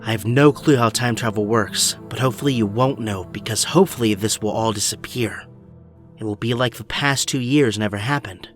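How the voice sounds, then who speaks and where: A man's voice: fairly low pitch, brisk, soft background music, natural voice. A man acting a haunted, stubborn young superhero in an audio drama, earnest and emphatic. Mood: haunted, resolute.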